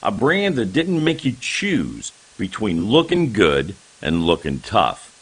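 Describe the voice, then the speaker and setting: A man's voice: lowish voice, wildly swooping pitch, slow pace, slightly rough. A man reading radio advertisements as an announcer.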